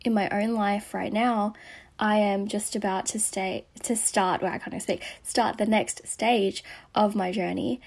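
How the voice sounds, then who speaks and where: A hoarse woman losing her voice, hosting a podcast with an earnest, encouraging motivational monologue that slips into a breathy whisper. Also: mid-range voice, steady tone, measured pace, darkish tone. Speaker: podcast host.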